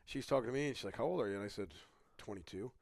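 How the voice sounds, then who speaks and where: A man's voice: on the low side, rapid-fire, creaky, no echo. A middle-aged man co-hosting a casual podcast, joking and teasing, with frequent laughter, in a dry close-mic sound. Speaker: podcast co-host.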